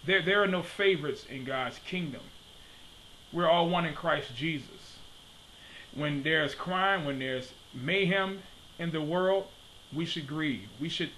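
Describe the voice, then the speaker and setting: A man in his thirties from Detroit delivers a preaching-style commentary straight into a desk webcam in his home study. His voice sits a little high and rings bright and forceful. He repeats his questions for emphasis, and a faint background hiss stays under the talk. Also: poor audio. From Detroit, USA.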